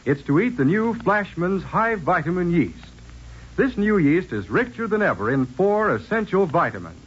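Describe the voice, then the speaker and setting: A dull vintage radio recording carries a male commercial announcer. His somewhat high voice is rough and husky, with highly animated intonation. He reads scripted 1940s advertising copy in an emphatic, instructional way. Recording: muffled old radio recording.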